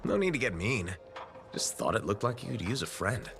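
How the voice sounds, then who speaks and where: A man's voice: lowish voice, very animated, normal speed, creaky, music in the background. A man playing an easygoing, wry freelancer pilot in a sci-fi video game. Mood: friendly, wry. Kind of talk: video game dialogue acting.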